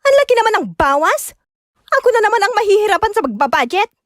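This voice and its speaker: An actress playing a jealous, nagging young wife in an audio drama, scolding and accusing with rapid questions. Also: female voice, extremely high pitch, very animated, dry recording, studio quality. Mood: suspicious, accusing. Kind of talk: Tagalog radio-style drama acting.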